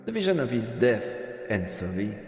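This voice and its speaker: A man streaming a visual novel, reading the narration aloud in an expressive storyteller manner with asides. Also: on the low side, normal speed. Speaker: streamer, let's player. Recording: echoey reverberant room, music behind. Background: game music.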